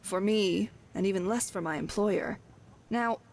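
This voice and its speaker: A woman's voice: medium pitch, measured pace, darkish tone, a little hiss or hum, lightly acted. A woman playing a glib, evasive thief companion in a fantasy video game, talking in a wry, coy way. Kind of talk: scripted video game dialogue.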